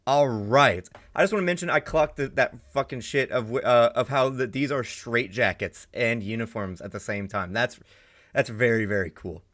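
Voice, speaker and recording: A man hosting a casual reaction video, talking excitedly, swearing often and thinking aloud with many restarts. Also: mid-range voice, normal speed.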